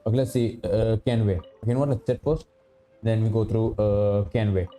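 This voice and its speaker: A male game streamer chats casually with a lowish, very dark and mellow tone. He repeats words and restarts often, with game music faintly behind him. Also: brisk. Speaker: game livestreamer. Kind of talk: casual gaming commentary with a friend.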